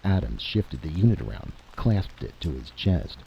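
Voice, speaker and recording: Deep, creaky-voiced male story narrator. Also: sing-song, normal speed. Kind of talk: scripted story narration, dramatic. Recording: dull lo-fi recording with noise.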